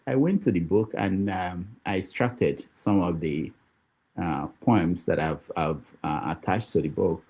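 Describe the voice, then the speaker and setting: Middle-aged guy, deep and a bit husky, poet talking about his book, lots of ums and restarts. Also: dark tone. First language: Igbo. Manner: hesitant, many fillers and restarts, laughs easily. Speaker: guest poet. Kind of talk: conversational book discussion.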